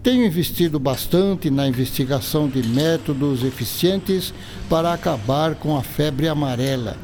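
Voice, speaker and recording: Actor as courteous, higher-pitched Brazilian scientist doctor. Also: male voice, normal speed, a little mellow, slight background noise, natural voice. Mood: earnest. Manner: didactic, courteous. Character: earnest scientist doctor, courteous, patiently explaining. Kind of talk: educational radio drama acting.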